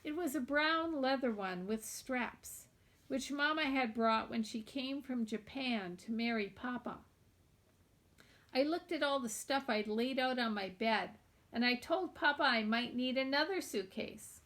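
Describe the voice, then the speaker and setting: A woman's voice: high-pitched, unhurried, a bit bright. A woman reads a children's novel aloud, narrating expressively in the first person as a young girl.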